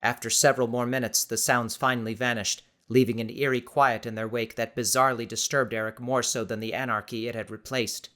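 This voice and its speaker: Male audiobook narrator, dramatic scripted read, mid pitch, tense bright tone. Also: level tone, fairly quick, few pauses.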